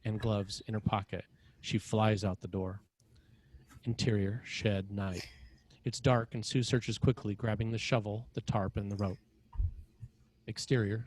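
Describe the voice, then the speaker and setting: Male narrator, slightly low pitch, scripted screenplay stage directions. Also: a little mellow, rough recording.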